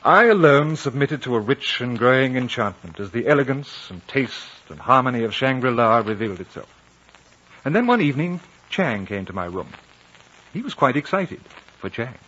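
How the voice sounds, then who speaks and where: An actor playing a polite, self-possessed traveler with an articulate, urbane manner, in 1950 radio drama acting and narration. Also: male voice, medium pitch, big pitch swings, moderate pace, a bit bright. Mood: reflective narration. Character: self-possessed British traveler, a man, curious, polite, firm. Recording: dull 1950 radio broadcast.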